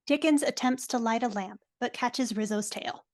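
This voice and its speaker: A woman reads stage directions for a live dramatic reading. Her voice is on the high side, heard over a video call. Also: moderate pace. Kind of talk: scripted narration in a live reading.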